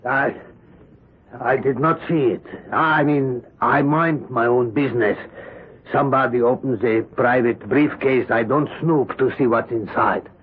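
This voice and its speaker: An actor playing a nervous, defensive Polish immigrant bodyguard on trial, halting and stammering in 1970s radio drama style. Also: male voice, medium pitch, lightly acted. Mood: guarded, evasive. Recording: muffled lo-fi 1970s radio recording.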